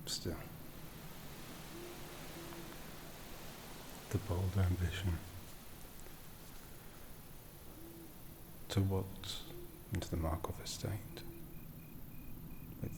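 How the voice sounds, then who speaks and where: Guy doing an old vampire lord asmr roleplay, really slow n whispery, menacing, haughty, tired of it all. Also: sits low, level tone. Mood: condescending, threatening. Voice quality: breathy half-whisper. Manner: slow, deliberate, menacing, theatrical phrasing. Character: ancient menacing aristocratic male vampire lord, world-weary and condescending.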